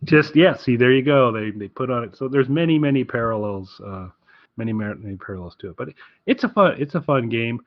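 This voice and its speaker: A man's voice: mid-range voice, expressive, fairly quick, dry recording, lo-fi sound. A man chatting casually and enthusiastically as a podcast co-host, rambling through a game recommendation.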